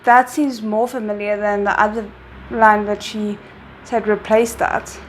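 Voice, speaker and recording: A woman hosting her own reaction video, talking casually and excitedly, thinking out loud. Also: mid-range voice, unhurried, a little hiss or hum, low-quality recording. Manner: excited, chatty, thinks aloud. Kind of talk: casual chat.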